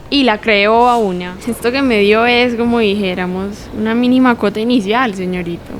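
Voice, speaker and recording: A woman's voice: fairly high pitch, normal speed, lightly acted. Actress doing a colombian street hustler lady, mocking and sly, radio drama, faint traffic noise. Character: sly, teasing female street emerald dealer. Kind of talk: audio drama acting.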